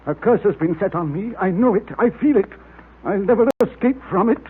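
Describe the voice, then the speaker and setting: An actor playing a frightened, secretive Egyptologist, agitated and indignant, in 1940s radio drama style. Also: male voice, high voice, expressive, brisk, slightly rough, exaggerated voice. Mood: fearful. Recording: muffled vintage radio drama recording.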